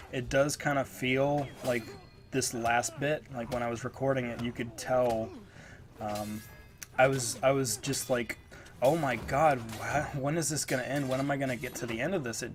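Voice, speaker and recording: A congested man rambles through a casual game review, narrating over gameplay. His voice sits in an ordinary middle range. Loud game music and sound play under his talking. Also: medium pitch. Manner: rambling, lots of fillers. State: stuffed up, congested. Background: game footage audio and music.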